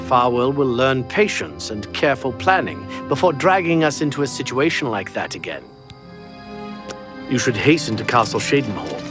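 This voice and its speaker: Sober seasoned knight voiced by a man, medium pitch. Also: close to an everyday voice. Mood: grateful, wry. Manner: measured, sober, wry. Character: seasoned, loyal, weary knight companion. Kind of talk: fantasy video game acting. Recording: music behind the voice.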